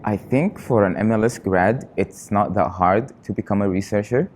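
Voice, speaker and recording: A young man from Qatar, a research trainee, answering interview questions in an earnest, halting way with frequent pauses. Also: lowish voice, darkish tone, slight background noise. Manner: earnest, halting, often pausing on fillers.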